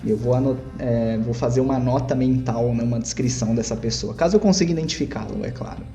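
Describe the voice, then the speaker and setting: A man's voice: mid-range voice, fast, mellow, faint music behind. A man playing a tabletop roleplaying game, describing his character's actions in wordy, articulate, self-correcting first person.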